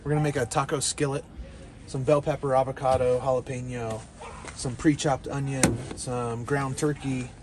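A man's voice: mid-range voice, moderate pace, noisy background. A man in his thirties giving a casual, matter-of-fact camping vlog outdoors, talking to a handheld camera with plenty of fillers. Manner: relaxed, matter-of-fact show-and-tell with fillers. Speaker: overland camping vlogger. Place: outdoors at a forest campsite.

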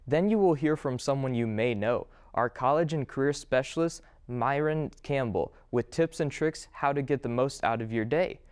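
A young man hosts a scripted college video, introducing the next speakers in a polished, even tone. His voice is smooth and resonant. He is recorded close on a clip-on mic in an indoor studio. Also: medium pitch, moderate pace, hardly any pauses. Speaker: student host. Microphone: lapel mic.

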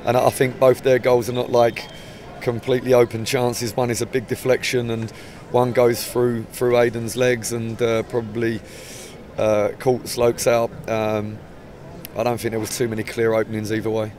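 A man in his forties, a football manager with an English accent, giving a measured, hesitant post-match interview outdoors by the pitch. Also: level tone, a little mellow, a little hiss or hum. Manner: measured, hesitant, many restarts. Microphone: handheld mic held up close.

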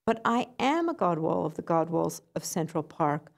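A woman's voice: lowish voice, big pitch swings, normal speed, gravelly. A woman voicing a small, frightened lost duckling boy who pleads timidly. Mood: pleading.

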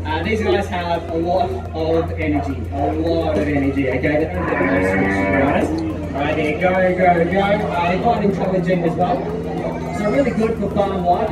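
A man's voice: high voice, very noisy. A young man giving a chatty live demonstration talk to a crowd at an outdoor farm paddock, often asking "all right?". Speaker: farm dog handler. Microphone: distant handheld camera. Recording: distant, echoey, with loud music and crowd.

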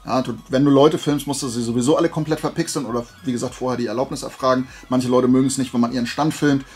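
A man's voice: mid-range voice, fairly even intonation, fast talker, a little mellow. A man chatting casually to viewers as a video creator, colloquial and playful, wandering off into little digressions. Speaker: video creator presenting flea market finds. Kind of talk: casual rambling chat to viewers.

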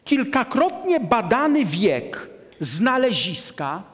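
A man in his sixties giving a deliberate, emphatic lecture to an audience from a lectern in a large hall. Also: very high-pitched, big pitch swings, fairly bright tone. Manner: deliberate, emphatic, gestures at a map. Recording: long hall reverb.

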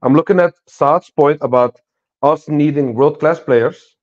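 A man's voice: on the high side, measured pace, darkish tone, dull audio. A man on a video call chatting casually about football, laid-back and often tagging "you know what I'm saying". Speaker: podcast panelist.

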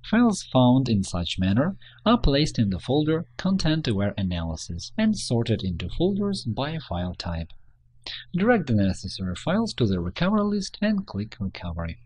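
A man narrates a software tutorial from a script, step by step. His voice is husky and of medium pitch, with lively rises and falls. The sound is close and dry. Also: normal speed, dry recording.

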